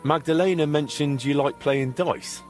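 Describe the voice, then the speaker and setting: A man's voice: on the high side, moderate pace, darkish tone, faint music behind, natural voice. A man playing an easygoing, modest young blacksmith adventurer in a medieval video game. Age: young adult. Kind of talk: video game character dialogue.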